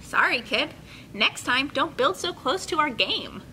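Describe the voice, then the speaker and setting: Excitable picture-book beachgoer, voiced by a woman, high, swooping, exclaiming. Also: a little slow, slight background noise, heavily acted, rough recording. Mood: calling out. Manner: exclaiming, calling out. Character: excited, friendly beachgoers calling out to a kid. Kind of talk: storybook character reading.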